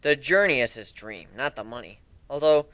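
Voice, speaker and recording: A man's voice: mid-range voice, lively intonation, normal speed, a bit bright, no echo, lightly acted. A man voicing a cocky, slangy griffin adventurer in an amateur dramatic story reading. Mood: matter-of-fact.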